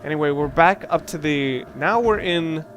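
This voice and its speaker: A man hosting a let's play, commentating casually on a video game in a rambling, halting way with dry joking asides. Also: fairly high pitch, a bit bright, slight background noise. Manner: rambling, halting self-corrections. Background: video game music.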